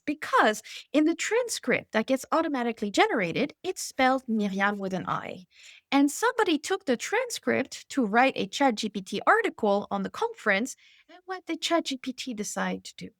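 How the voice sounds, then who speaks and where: An expert woman guest from Hawaii tells her points in an interview with emphatic animation. Her voice sits fairly high. The recording is close and dry. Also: no echo. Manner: animated, emphatic storyteller who laughs between points. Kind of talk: conversational interview.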